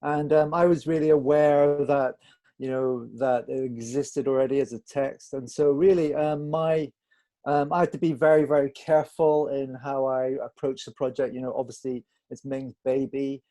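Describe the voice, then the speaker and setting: Halting, mellow-voiced man in a Q&A. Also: medium pitch, little pitch movement. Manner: halting, searching for words, self-effacing. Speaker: film director interviewed on a panel. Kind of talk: conversational Q&A explanation.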